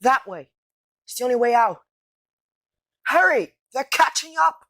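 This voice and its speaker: A woman's voice: a little high, lively intonation, unhurried, a bit bright, slight background noise. A woman playing an earnest young boy wizard with an intense manner in an audio drama. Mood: urgent, alarmed. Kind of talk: fan audio drama acting.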